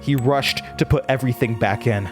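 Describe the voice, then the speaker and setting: A man's voice: medium pitch, normal speed, lightly acted. A man playing a shaken young college student, narrating a horror audio drama in a dramatic, haunted way that stammers under stress. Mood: horrified. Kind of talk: horror audio drama first-person narration, acting out dialogue. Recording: music bed under the voice. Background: music.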